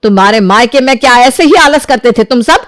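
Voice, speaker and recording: A stern, bossy mother-in-law from a cartoon story, played by a woman. She scolds her daughters-in-law in a harsh, commanding tone, her voice a touch high. The recording is dry and close. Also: animated, fairly quick, dry recording, close to an everyday voice. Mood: scolding. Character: strict domineering older mother-in-law. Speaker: cartoon voice actor. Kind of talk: Hindi cartoon dubbing.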